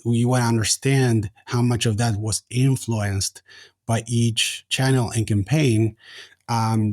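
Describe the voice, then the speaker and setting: A man's voice: medium pitch, darkish tone, no echo, studio quality. A man in his forties from Argentina, answering interview questions in a hesitant way with many fillers and restarts. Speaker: podcast guest, company founder.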